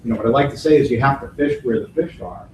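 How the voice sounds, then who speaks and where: A man's voice: mid-range voice, quick pace. A man speaking as a conference keynote speaker, answering audience questions in a thoughtful way, restarting phrases and using folksy sayings. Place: hotel conference hall. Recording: echoey hall from far off, faint noise.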